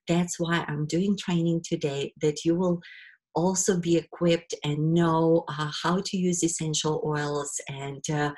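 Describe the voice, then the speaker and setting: Middle-aged Ukrainian-accented woman, webinar presenter, low voice, unhurried, hesitant with many fillers.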